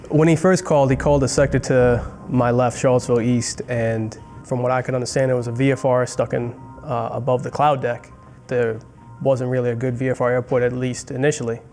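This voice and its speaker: Male air traffic controller, measured interview recount, flat pitch, soft music under. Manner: measured, explanatory.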